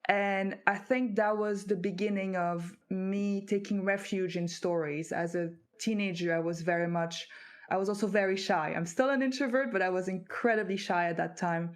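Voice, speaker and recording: A woman from France whose first language is French, talking as an interview guest. Her voice sits in a middle pitch, and her delivery is reflective and unhurried. She pauses on fillers as she gathers her thoughts. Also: fairly even intonation. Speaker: guest author. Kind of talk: conversational interview.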